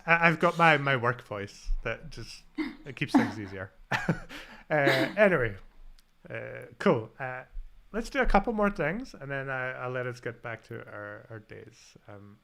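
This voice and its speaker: Scottish man with a gravelly voice. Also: mid-range voice, lively intonation, measured pace, pushed and bright. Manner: self-deprecating, thinks aloud while typing. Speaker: host. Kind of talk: casual live-coding chat.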